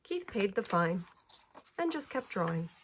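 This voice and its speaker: A woman reading a children's picture book aloud in an expressive storytelling manner, phrasing clearly for young listeners. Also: medium pitch, unhurried, a little hiss or hum.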